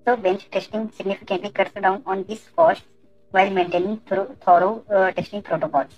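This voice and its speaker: A woman presenting an explanatory tutorial in a halting way, often repeating words and phrases as she goes. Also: medium pitch, no room sound, muffled recording, faint music behind. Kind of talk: explanatory screen-share tutorial.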